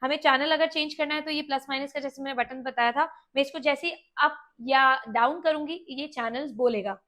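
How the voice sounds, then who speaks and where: High-pitched Indian woman presenting a review. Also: brisk, a bit bright. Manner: explanatory, conversational, mixing in English words. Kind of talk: walkie-talkie review with demonstration.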